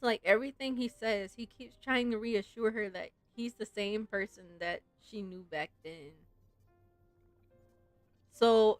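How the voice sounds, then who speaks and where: A woman's voice: on the high side, fairly bright tone, dry recording. A woman streamer giving casual, musing gameplay commentary and reading story text aloud. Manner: informal, musing, frequent "like". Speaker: game streamer. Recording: game music behind the voice.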